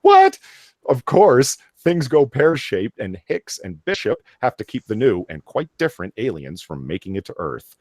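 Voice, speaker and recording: A man hosting a casual live chat, excitable and emphatic, prone to swearing, who sometimes reads aloud. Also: medium pitch, normal speed, dry recording. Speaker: podcast host.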